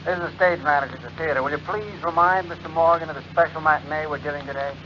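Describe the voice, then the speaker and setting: Man acting fast-talking stage manager on telephone. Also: on the high side, steady tone, natural voice. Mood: brisk, polite. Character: brisk, businesslike theater stage manager on telephone. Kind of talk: 1940s radio acting. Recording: muffled, noisy 1940s radio recording.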